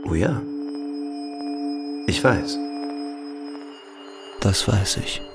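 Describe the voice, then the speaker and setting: An actor playing a defiant, brooding ex-convict murder suspect in a German radio crime drama, speaking tersely and deliberately. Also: male voice, low-pitched, expressive, loud music behind the voice, exaggerated voice. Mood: inward, brooding.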